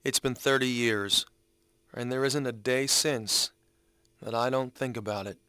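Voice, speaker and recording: Male reader as a solemn, earnest man; smooth mid-range voice, dry close recording, deliberate dramatic reading. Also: medium pitch, steady tone, sharp tone, no room sound. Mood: haunted. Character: earnest man honoring veterans, solemn and aggrieved. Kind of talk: dramatic reading of written commentary.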